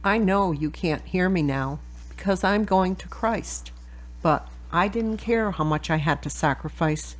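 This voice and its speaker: Casting: woman to play devoted dying elderly mother, lower register, solemn, loving, radio drama. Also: unhurried, low-quality recording. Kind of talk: audio drama monologue.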